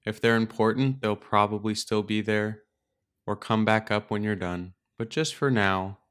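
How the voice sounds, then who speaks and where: A man leading a guided meditation as a teacher, speaking in a calm, gentle, unhurried way with pauses. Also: on the low side, clean tone. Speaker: meditation teacher and podcast host. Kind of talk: guided meditation, slow and instructional.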